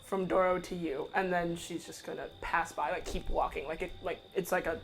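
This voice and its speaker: A tabletop player describes a character's moves in a casual, very even voice of medium pitch. Faint music sits behind the talk. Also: brisk, low-quality recording. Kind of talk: casual game chat, describing a character's actions.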